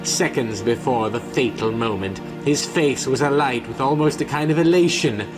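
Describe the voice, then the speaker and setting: A man's voice: mid-range voice, moderate pace, over music. A man narrating a horror comic in a grave, dramatic, scripted storytelling style. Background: musical score.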